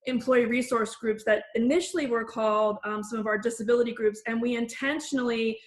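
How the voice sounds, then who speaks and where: A woman with a New Jersey accent speaks earnestly on a video call, her pitch sitting fairly high but barely moving. She repeats words as she searches for the next one. Her voice rings in an echoey room. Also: steady tone, brisk. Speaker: facilitator, HR professional.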